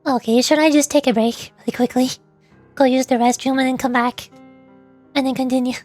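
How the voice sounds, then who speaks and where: High-pitched, chatty woman streamer. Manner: chatty, rambling, playful. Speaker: livestreamer, VTuber host. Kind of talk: casual chat while streaming a game. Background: faint music.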